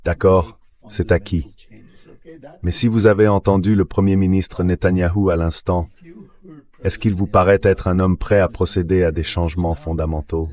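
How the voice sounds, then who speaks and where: A man's voice: low voice, dark tone. A man's synthetic voice reading a translated voice-over as a guest analyst discussing politics.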